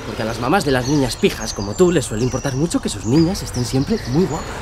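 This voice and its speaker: A man's voice: medium pitch, animated, fast, background noise, natural voice. Man playing a wildly swinging, sadistic killer. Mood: mocking. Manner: taunting, menacing, swinging into shouts. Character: sadistic, mocking male serial killer taunting his victim. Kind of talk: dramatised true-crime audio re-enactment.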